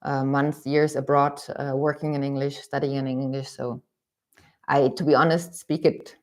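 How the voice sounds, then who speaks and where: Woman, low voice, hesitant livestream co-host. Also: level tone, normal speed, darkish tone. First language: German. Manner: hesitant, lots of ums and restarts. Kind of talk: casual livestream chat.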